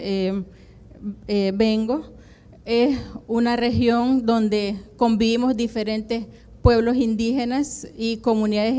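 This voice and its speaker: Mellow-voiced woman presenting. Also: on the high side, clean tone. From Atlantic coast of Nicaragua. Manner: hesitant, many "em" and "eh" fillers, steady explanatory pace. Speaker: university program coordinator, conference panelist. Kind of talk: conference presentation. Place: conference room with flags and projection screen. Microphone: handheld microphone.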